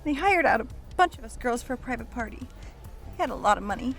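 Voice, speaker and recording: A scared, candid young dancer in a radio play. She talks casually in a high voice that breaks into fry, over faint music. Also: animated, fairly quick, a bit bright. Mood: uneasy recounting. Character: frightened young dancer woman, pleading and candid. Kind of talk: radio drama acting.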